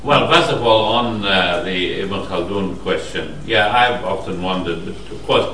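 A man from the audience slowly starting a question at a seminar, hesitant and full of fillers. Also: low-pitched, fairly bright tone. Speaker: audience member asking a question. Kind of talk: spontaneous academic question. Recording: echoey room, distant mic, faint hiss.

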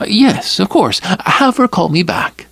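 A man voicing an anxious, chatty older mother who fusses over her daughter, in a high, fluttering voice. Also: very animated, slow, creaky, fairly bright tone, slightly rough, dry recording, heavily acted. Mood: coaxing.

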